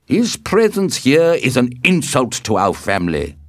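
A man voicing a proud, vengeful young nobleman in a storybook, indignant and deliberate. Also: low-pitched, expressive, darkish tone, no room sound, studio quality, heavily acted.

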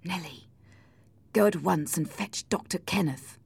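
Woman voicing a sombre, wounded gentleman husband. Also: a little high, big pitch swings, takes it slowly, pitched above the performer's own voice, heavily acted. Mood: quiet, worried. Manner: earnest, sorrowful. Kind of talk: audiobook character acting.

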